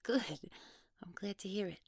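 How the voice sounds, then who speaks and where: A woman playing a guarded, awkward young woman in an audio drama, speaking naturally in a halting, hesitant way. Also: mid-range voice, creaky, slightly rough, no room sound, studio quality. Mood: sympathetic, awkward. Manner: hesitant, halting, trailing off, nervous laughs. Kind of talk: naturalistic one-sided audio roleplay acting.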